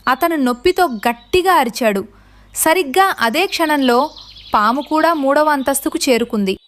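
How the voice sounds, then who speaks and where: A woman's voice: sits high, normal speed, a bit bright, slight background noise. A woman narrating a scripted story for children.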